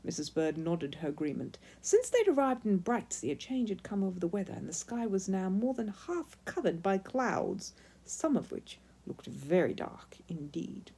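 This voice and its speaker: Animated, mellow woman reading a children's story. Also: mid-range voice, normal speed, husky. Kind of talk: expressive scripted children's story narration.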